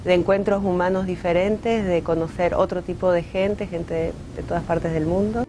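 A woman from Mendoza with an Argentine accent, a painter giving reflective interview answers and pausing often on "eh" fillers. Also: lowish voice, fairly even intonation. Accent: Argentine Spanish. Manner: thoughtful, pauses often with "eh" fillers.

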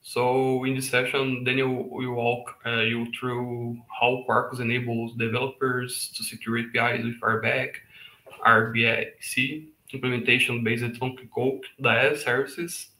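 A man in his thirties hosting a meetup on a video call, reading a speaker introduction haltingly. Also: medium pitch, level tone, sharp tone, a little hiss or hum. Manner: reads haltingly with fillers. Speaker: meetup host. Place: home room. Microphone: headset. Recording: echoey room on a video call.

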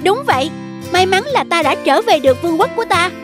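A woman voicing a small, gentle sparrow in a children's fairy-tale cartoon, with a polite manner. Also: very high-pitched, animated, a little fast, voice pushed up. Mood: gracious. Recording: music behind the voice.

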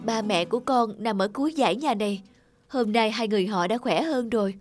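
A woman's voice: medium pitch, a little fast, loud music behind the voice, close to an everyday voice. A woman voicing a kind, caring young nurse in a dubbed cartoon. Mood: gentle, caring. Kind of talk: cartoon dubbing.

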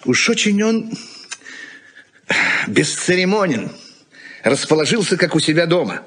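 An actor in a Soviet-era radio play, playing a busy, touchy middle-aged husband who grumbles and talks in a curt, sardonic way. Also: male voice, a little high, sing-song, unhurried, a bit bright, slight background noise. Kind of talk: Soviet 1980s radio drama acting.

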